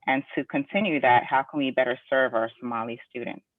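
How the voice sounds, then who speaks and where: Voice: medium pitch, fairly quick. Polite webinar moderator on tinny audio. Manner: polite, scripted. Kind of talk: reading audience questions and thanking the guest. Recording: tinny dry phone-like call audio.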